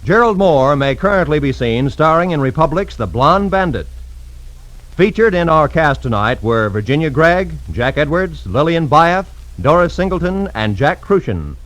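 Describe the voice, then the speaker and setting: A man's voice: on the high side, big pitch swings, normal speed, fairly bright tone, no echo, poor audio. A man with an American accent reading scripted 1950s radio announcements in a polished, emphatic, formal way. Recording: old radio broadcast, music behind.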